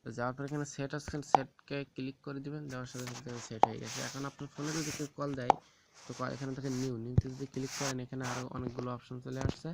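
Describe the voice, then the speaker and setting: A man gives an informal, halting step-by-step phone app tutorial, often repeating himself. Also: mid-range voice, flat delivery. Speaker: tech tips tutorial host. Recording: very noisy, rooster crowing and clicks behind.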